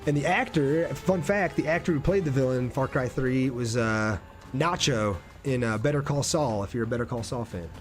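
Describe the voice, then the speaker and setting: A man's voice: medium pitch, moderate pace, soft background music. A man doing casual live gameplay commentary and chatting with viewers in a laid-back, slangy way. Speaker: game streamer.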